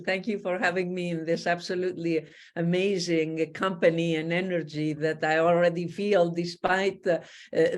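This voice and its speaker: Measured woman. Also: on the low side, darkish tone. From Greece. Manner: measured and reflective. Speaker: panel guest.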